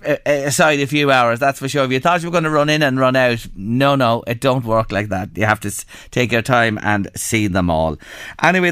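A man's voice: medium pitch, a bit bright, clean tone, dry recording, studio quality. An Irish man presenting an afternoon radio show, chatting loosely and repeating himself as he rambles on. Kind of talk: casual radio chat. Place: radio studio.

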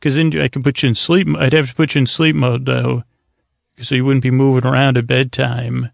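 A man hosting a bedtime podcast with a creaky voice, rambling gently and haltingly in a friendly, lulling monologue. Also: mid-range voice, flat delivery, dry recording. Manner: meandering, long-winded, halting.

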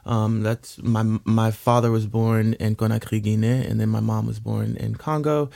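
Young male actor guest, from DC, gravelly, close dry mic, loose chatty delivery. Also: fairly low pitch, flat delivery, normal speed, mellow, no room sound. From Washington DC, US. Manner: chatty, laughing, many fillers. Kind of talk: casual interview.

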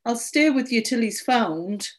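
A woman's voice: fairly high pitch, lively intonation, unhurried. A woman voicing a nervous, apologetic teenage boy in a story, meek and hesitant, with a stammer. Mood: timid, reassuring.

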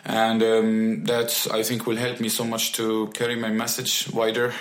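Young man, guest musician, halting restarts, echoey room. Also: fairly low pitch, completely flat delivery. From Egypt. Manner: halting, with frequent restarts and fillers. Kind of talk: interview answers.

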